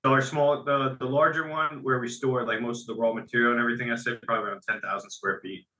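A man's voice: mid-range voice, fairly even intonation, fast talker, sharp tone. A man speaking as a business guest in a casual interview, talking conversationally and repeating phrases as he restarts his sentences. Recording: echoey room.

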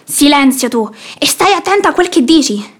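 A woman's voice: sits high, sing-song, normal speed. An actress playing an imperious, sanctimonious old mother-in-law in a theatrical radio play, haughty and preachy. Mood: stern, disapproving.

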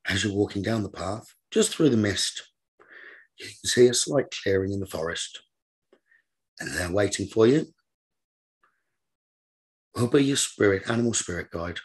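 A man leading a guided meditation as a teacher, giving gentle, repetitive instructions in a slow manner. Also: lowish voice, expressive, breathy edge.

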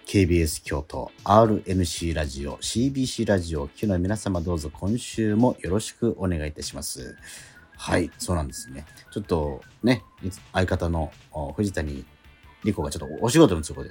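A middle-aged man hosting a radio show, chatting in a relaxed, rambling, self-deprecating way. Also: low voice. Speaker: radio host. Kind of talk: casual radio chat.

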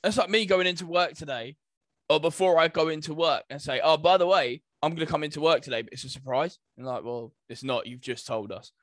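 Higher-pitched man chatting, dry close sound. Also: a little fast, fairly bright tone, no room sound. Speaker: podcast co-host. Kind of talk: casual chat.

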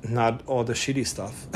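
A middle-aged Hungarian man speaks English with his accent. He talks as a podcast guest at a medium pitch, stopping and restarting often and padding his sentences with fillers. A faint noise floor sits under the recording. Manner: hesitant, many fillers and restarts, laughs often. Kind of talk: casual interview conversation.

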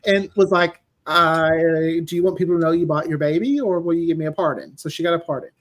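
Higher-voiced man telling stories in casual chat. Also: normal speed. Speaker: audio drama writer, podcast guest.

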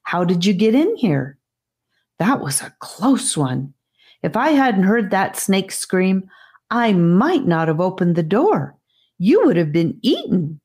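A woman voicing a confident, fearless little girl in a fantasy audiobook, speaking with calm certainty. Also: medium pitch, takes it slowly, husky, full character voice. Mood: soft, curious. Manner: firm, certain, turning soft and kindly.

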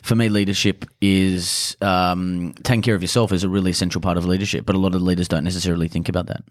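A man hosting an interview, asking questions in a relaxed, hesitant way. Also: sits low, fast talker, no echo, studio quality. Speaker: podcast host.

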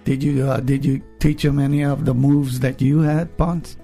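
A man's voice: mid-range voice, level tone, moderate pace, vocal fry, dark tone, faint music behind. A man interviewing a guest, prompting him with friendly questions. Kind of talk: casual interview.

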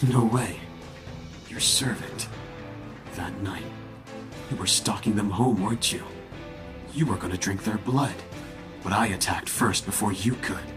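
A smug vampire killer, played by a man with a low voice and a mocking, theatrical delivery. He menaces and taunts in an audio roleplay, with music underneath. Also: a little slow, pauses often, close to an everyday voice.

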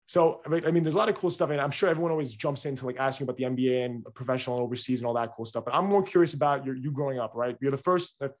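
A man hosts a casual podcast interview at a very rapid clip. He asks eager, rambling questions packed with fillers. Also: medium pitch, steady tone. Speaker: podcast host.

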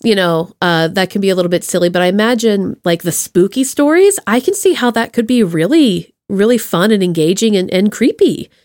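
A woman's voice: mid-range voice, expressive, a little fast, few pauses, a little mellow, no echo, studio quality. A woman hosting a podcast in a casual, chatty interview style, enthusiastic and playful as she talks with her guest.

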